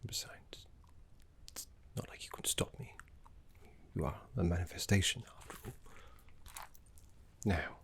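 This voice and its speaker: A man playing a gentle, scholarly necromancy teacher in an ASMR roleplay, speaking in a soft, breathy whisper with courteous, soothing, measured manners. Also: low-pitched, animated, exaggerated voice. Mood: tender, regretful.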